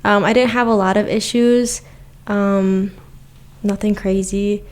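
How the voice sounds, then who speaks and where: A young woman from Milwaukee talks as a podcast guest. She rambles loosely through her answers and leans on fillers. Her voice sits at an ordinary middle pitch. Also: fairly even intonation, measured pace, darkish tone. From Milwaukee, Wisconsin. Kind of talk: casual conversation.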